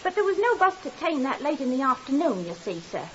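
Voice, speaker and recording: Flustered landlady, really high voice, chatty and eager to please, hissy old radio drama. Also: sing-song, a little fast, a bit bright. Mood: flustered, deferential. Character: fretful, chatty, deferential landlady lending money to her lodger. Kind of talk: 1950s radio drama acting. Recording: dull, hissy 1950s radio recording.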